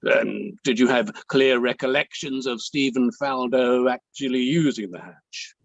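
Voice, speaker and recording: A man playing a courteous, deliberate barrister who pauses as he cross-examines, in a table read of an inquiry play. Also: mid-range voice, gravelly. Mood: politely probing. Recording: dull, dry, lo-fi microphone.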